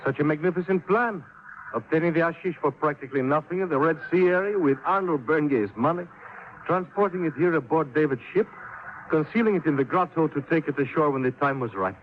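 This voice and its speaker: An actor playing a suave, smug foreign villain in a 1950s radio drama, with silky, florid, mock-affectionate delivery. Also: male voice, fairly high pitch, a little fast, close to an everyday voice. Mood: smug gloating. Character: suave, gloating male foreign villain, smugly theatrical. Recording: muffled, noisy old radio recording.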